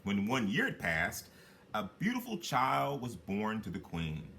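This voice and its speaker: A man reading a children's fairy tale aloud as a storyteller, with dramatic, drawn-out phrasing for emphasis. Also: fairly low pitch, huge pitch swings, vocal fry, bright, forceful tone, a little hiss or hum. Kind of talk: expressive read-aloud fairy tale narration.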